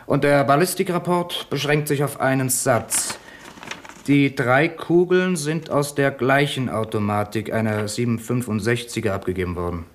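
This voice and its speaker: An actor playing a deferential, chatty police assistant in a 1970s radio crime drama, matter-of-fact and good-humoured. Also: male voice, mid-range voice, moderate pace, natural voice. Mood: businesslike.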